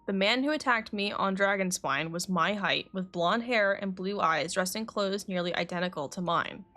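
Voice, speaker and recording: Woman voicing a composed male alchemist, measured, explanatory, game dialogue, medium pitch. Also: pushed and bright, lightly acted. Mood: calm, matter-of-fact. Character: calm, measured male alchemist confessing in court.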